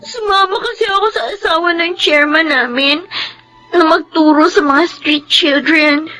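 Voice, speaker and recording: An actress playing a tearful, pleading teenage daughter in a Filipino radio drama. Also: female voice, very high voice, measured pace, pushed and bright, soft background music, heavily acted, poor audio. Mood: sobbing, hurt. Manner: whining, pleading, respectful.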